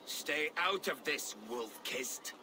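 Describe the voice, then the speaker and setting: An actor playing an impatient, scornful Viking clansman in a video game, speaking in a curt, gruff way. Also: on the high side, animated, slow, background noise. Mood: irritated. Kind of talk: dramatic video game acting.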